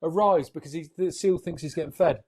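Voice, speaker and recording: A man's voice: fairly high pitch, fairly quick, darkish tone, husky. A man co-hosting a podcast in casual, joking banter, swearing freely. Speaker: podcast co-host.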